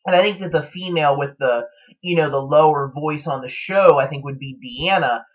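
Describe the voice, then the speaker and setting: Dull-sounding man thinking aloud, halting delivery. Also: a little high. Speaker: TV series fan commentator. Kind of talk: casual unscripted theory commentary.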